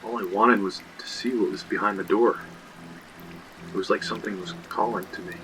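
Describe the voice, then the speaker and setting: Man acting a deep-voiced haunted young storyteller. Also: steady tone, fairly quick, natural voice, poor audio. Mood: entranced, hushed. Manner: brooding, confessional. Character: haunted young man recounting an eerie ordeal. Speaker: narrator. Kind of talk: first-person horror audio drama narration.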